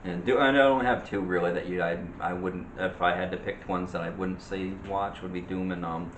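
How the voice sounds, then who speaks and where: A man giving casual movie opinions as a co-host in a halting, rambling way. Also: low voice, steady tone. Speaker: co-host of a movie discussion show. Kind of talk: casual chat about movies. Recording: roomy echo with light background noise.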